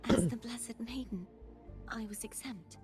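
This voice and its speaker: An actress voices a solemn young maiden of a fantasy game, high and soft. Her delivery is breathy and subdued, over clear game music. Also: unhurried, natural voice. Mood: calm, matter-of-fact.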